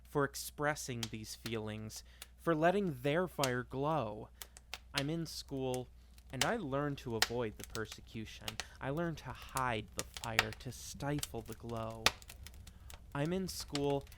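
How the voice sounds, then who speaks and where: Man reading a dramatic monologue, noisy recording. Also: mid-range voice. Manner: deliberate, repetitive cadence with dramatic pauses. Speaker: monologue reader.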